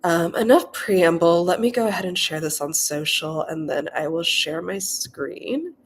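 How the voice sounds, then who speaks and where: A woman's voice: on the low side, unhurried, a little hiss or hum. A young woman with a cold and a nasal voice, chatting casually on a coding livestream with lots of filler ums. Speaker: livestreaming coder.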